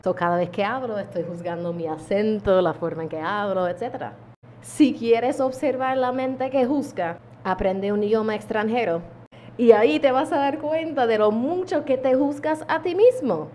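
Soft-voiced woman teaching mindfulness. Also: a little high, a little hiss or hum. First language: English. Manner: calm, gentle teaching with deliberate pauses. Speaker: yoga teacher. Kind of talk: mindfulness lesson to camera. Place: indoor room at home. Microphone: small handheld lavalier mic held close.

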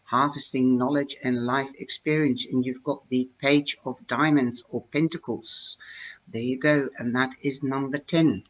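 An older woman with a Dutch accent, talking slowly into her webcam at home and explaining her fortune-telling cards in a chatty, hesitant way. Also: extremely low pitch, steady tone, low-quality recording. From Netherlands. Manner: slow, chatty, with many hesitations. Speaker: tarot reader. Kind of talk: live-streamed card reading talk. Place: home room, indoors. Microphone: webcam at desk.

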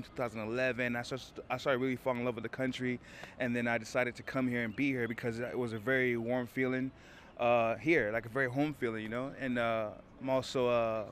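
A man's voice: mid-range voice, fairly bright tone, a little hiss or hum. A man raised in Haiti, a stand-up comedian, answering interview questions in a loose, jokey way. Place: small stage. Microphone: handheld mic held by interviewer.

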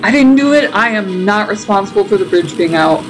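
A woman's voice: mid-range voice, lively intonation, fairly quick, poor audio. A woman giving casual playthrough commentary as a game streamer, chatting and thinking aloud. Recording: game music behind the voice.

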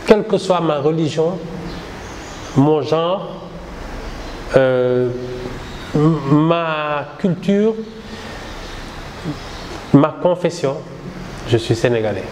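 A middle-aged man from Senegal speaks slowly and deliberately, his voice sitting a touch high for a man. He answers interview questions with measured, reflective phrasing. The small indoor room adds a long, roomy reverb, with faint ambience underneath. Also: animated, a little mellow, a little hiss or hum. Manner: measured, reflective, gestures a lot. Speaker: satirical newspaper founder.

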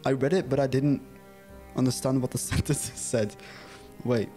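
A young Dutch man who speaks English with a British-style accent, talking casually at a mid-range pitch into a close mic in a small room at home. He giggles often, gets flustered easily and reads chat and game text aloud. Faint music plays in the background. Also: steady tone, normal speed, mellow. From Netherlands. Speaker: streamer.

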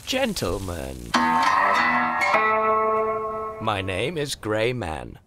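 A man's voice: fairly low pitch, huge pitch swings, takes it slowly, over loud music, heavily acted. A man playing a scornful, cunning, very old long-lost brother in a theatrical comic dub, with dry, exasperated menace. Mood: dry contempt.

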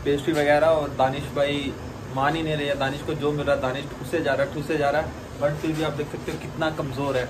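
High-pitched young man, noisy recording. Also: flat delivery, a little fast. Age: 20s. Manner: playful, teasing. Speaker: vlog host. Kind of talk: casual vlog chat to camera. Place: restaurant room and outside it by a road. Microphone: phone at arm's length. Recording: noisy phone audio with background ambience.

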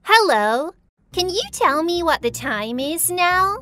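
A cheerful, caring little girl from a children's cartoon, speaks in a high, bright voice. She takes her time and sounds expressive and simple, with music playing behind her. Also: slow pace, no room sound, clean studio sound, heavily acted. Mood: friendly. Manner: clear, expressive, addresses young viewers simply.

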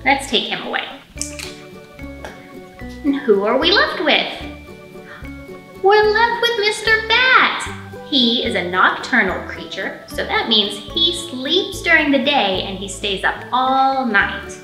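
A woman in her thirties leading a storytime for young children, speaking in simple, expressive phrases with plenty of questions. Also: high-pitched, slow pace, long pauses. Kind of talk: storytime teaching, singing and picture-book reading for young children. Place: indoor library storytime room. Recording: roomy echo, faint music.

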